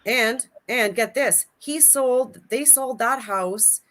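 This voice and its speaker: A woman's voice: on the high side, slow pace, fairly bright tone. A woman in her forties, a guest on a livestream, chatting casually and laughing as she tells stories about friends. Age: 40s. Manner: chatty, laughs often, storytelling.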